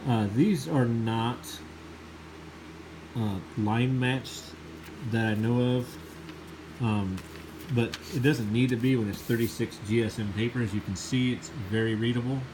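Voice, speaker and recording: A man's voice: mid-range voice, fairly even intonation, unhurried, noisy background. A man with a slight cold, sniffling a little, giving an enthusiastic, rambling casual review of a Bible.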